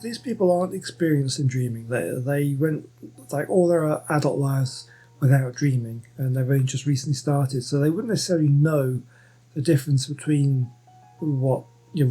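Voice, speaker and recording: A man's voice: medium pitch, dark tone, slightly rough, low-quality recording. A man giving casual let's-play commentary, rambling and thinking aloud as he goes. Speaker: gaming streamer.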